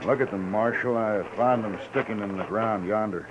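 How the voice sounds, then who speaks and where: An actor playing an aggrieved, plain-spoken frontier rooming-house owner in a 1950s radio western. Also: male voice, fairly low pitch, normal speed, lightly acted. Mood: grim, accusing. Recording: dull 1950s radio recording.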